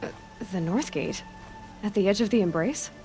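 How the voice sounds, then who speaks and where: A woman voicing a young outcast hunter in a game, her voice mid-pitched and clear. She is direct, curious and a bit wry, with soft music behind. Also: lively intonation, normal speed, close to an everyday voice. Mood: earnest. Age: young adult. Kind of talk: video game cutscene acting.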